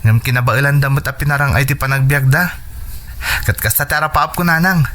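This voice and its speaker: A man's voice: mid-range voice, steady tone, fairly quick, sharp tone, natural voice. A man playing an earnest, determined young son in a radio drama, speaking respectfully with an Ilocano Filipino accent. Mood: happy, determined.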